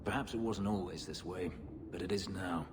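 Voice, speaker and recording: A man voicing a brooding, bitter warrior in a video game, speaking in a measured, grave, dryly sardonic way. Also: sits low, in a large hall, background noise, lightly acted. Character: brooding, bitter male warrior from a slave-keeping empire.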